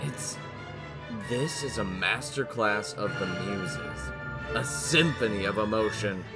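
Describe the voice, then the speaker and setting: A man's voice: lowish voice, slow, loud music behind the voice. A man voicing a serious, grumpy male snake in a comic dub, with a dry, dramatic, stern manner. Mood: pompous. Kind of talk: comic dub character acting.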